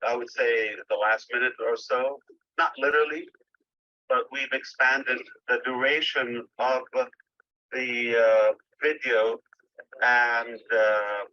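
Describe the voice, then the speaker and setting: A man giving an informal update to members as a company leader on a group call, speaking haltingly with pauses mid-phrase. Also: mid-range voice, sharp tone. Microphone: phone or internet call line. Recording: dull lo-fi phone call audio.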